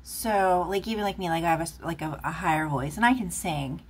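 Lady doing a tarot reading, rambly and chatty, kinda low voice, lots of ums. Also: fairly quick, husky. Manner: rambling, chatty, many fillers. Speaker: tarot reader. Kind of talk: casual tarot reading monologue. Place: indoors at a table, off camera.

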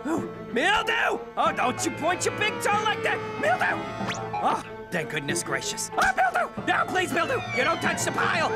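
A man's voice: very high voice, full character voice. A man voicing a fussy, fretful male cartoon dog father.

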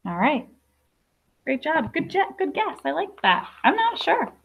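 A woman's voice: sits high, sing-song, measured pace, vocal fry, dull audio. A young adult woman teacher reading a picture book aloud to young children, explaining simply with questions and asides. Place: classroom.

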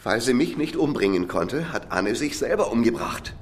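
An actor playing a faltering, bitter murder suspect who speaks haltingly in a radio crime drama. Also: male voice, medium pitch, animated, lightly acted. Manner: halting, stammering.

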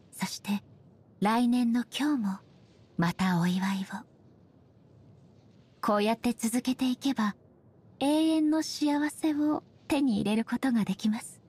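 A woman voicing a dignified, ageless shogun goddess in a game, speaking softly in formal, measured, polite phrases. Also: high-pitched. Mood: gentle, formal. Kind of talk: scripted game character voice lines.

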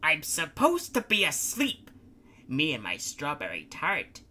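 A man's voice: on the high side, wildly swooping pitch, measured pace, sharp tone, a little hiss or hum, voice pushed up, full character voice. A man voicing Takashi, a grumpy sleepy man who protests being dragged along. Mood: protesting. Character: grumpy sleepy male townsman.

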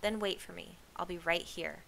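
A woman's voice: fairly low pitch, fairly even intonation, normal speed, sharp tone, full character voice. A woman voicing a blustery, bossy, scatterbrained woman shepherd in a storybook reading, insistent and brushing objections aside. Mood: bossy, unhurried. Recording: clear background hiss.

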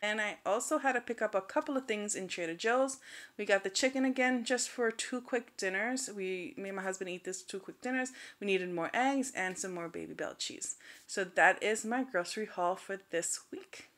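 A woman's voice: medium pitch, normal speed, fairly bright tone. A woman in her thirties talking casually and straightforwardly as a vlogger, recorded indoors at home. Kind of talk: casual grocery haul vlog.

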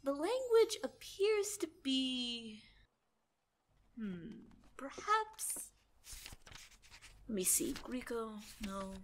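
A woman's voice: sits high, big pitch swings, drawn-out words, long pauses, slight background noise, heavily acted, low-quality recording. A woman playing a pompous boy scholar. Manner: pompous, theatrical. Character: pompous boy scholar, grandly self-assured. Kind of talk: audio drama acting.

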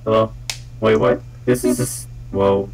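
A young man chatting casually and excitably, swearing freely, his voice run through a voice changer on a headset. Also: fairly low pitch, unhurried, gravelly, rough recording. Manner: excitable, giggly, blurting. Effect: voice changer with shifting presets. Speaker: video maker playing with a voice changer. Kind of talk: casual joking chat with swearing. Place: indoors at a computer.

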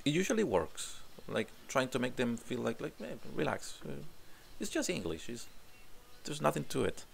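Chilean guy, spanish accent, gravelly low-ish voice, restarts his words a lot, talking into a desk mic at home w faint hiss. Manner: thoughtful, repeats words while searching for them. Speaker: job applicant, English teacher. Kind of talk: self-recorded interview answers. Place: home room with guitars on the wall. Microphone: desk condenser mic in frame.

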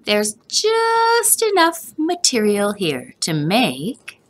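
A woman voicing a kindly old grandfather tailor in a storybook, musing slowly and announcing things with drawn-out delight. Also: mid-range voice, huge pitch swings, exaggerated voice. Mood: musing, pleased.

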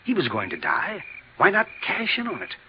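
A weak, remorseful middle-aged broker in a 1950s radio drama. His halting, regretful delivery swings widely in pitch. The sound is dull, with some faint noise from the old recording. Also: huge pitch swings. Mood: bitter, ashamed. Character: weak, remorseful insurance broker confessing to fraud.

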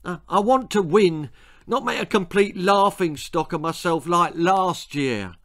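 A man voicing a desperate, tearful and indignant schoolboy runner in a storybook, wailing and protesting loudly. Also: high voice, expressive, a little slow, dry recording, studio quality, voice pushed up, heavily acted. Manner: wailing, whining, loud protests.

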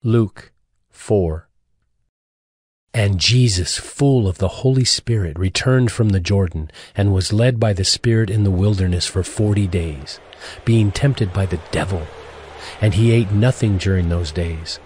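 Low-voiced man narrating scripture. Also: measured pace, a little mellow, slightly rough, dry recording.

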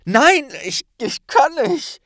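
Guy doing a young wizard lad, high raised voice, stammering and pleading, guilt-ridden, storybook reading. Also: big pitch swings, slow, fairly bright tone, no room sound, clean studio sound, exaggerated voice. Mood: panicked, pleading.

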